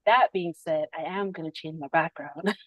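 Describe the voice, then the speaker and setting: A woman with a fairly low voice hosts a spiritual podcast over a video call. She talks in a reflective, unhurried way and often laughs between thoughts. The sound is dry and close. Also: no echo. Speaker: podcast host.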